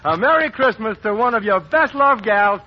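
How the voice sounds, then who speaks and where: A man's voice: high voice, animated, moderate pace, slight background noise. A man introducing a singer on a 1940s radio variety show in a folksy, friendly way. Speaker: host. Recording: dull 1940s radio broadcast.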